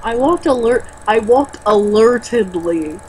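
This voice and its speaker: A woman's voice: fairly high pitch, expressive, unhurried, a little mellow, a little hiss or hum, rough recording. A young woman reading a book aloud casually, with sarcastic, exasperated, foul-mouthed commentary. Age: young adult.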